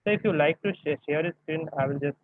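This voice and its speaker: A man's voice: on the high side, fast talker, dry recording. Male moderator, thin phone-quality call audio, dry sound, courteous and deferential introductions. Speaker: moderator of an academic online event. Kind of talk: introducing a speaker, semi-formal. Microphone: video call. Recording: phone-like, narrow-band online call audio.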